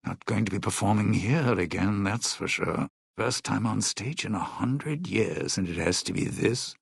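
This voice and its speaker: An actor voicing a suave, bitter, century-old lounge singer in a video game, a sardonic and scheming showman with a raspy voice. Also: lowish voice, a little slow, dry recording, clean studio sound, full character voice. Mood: exasperated. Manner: theatrical, sardonic showman talking in stage metaphors.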